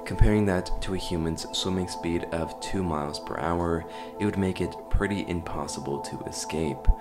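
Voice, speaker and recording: Deep voiced guy doing scripted horror video commentary, talks casually to viewers, music going behind him. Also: moderate pace. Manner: addresses viewers informally. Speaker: host of an analog horror explainer video.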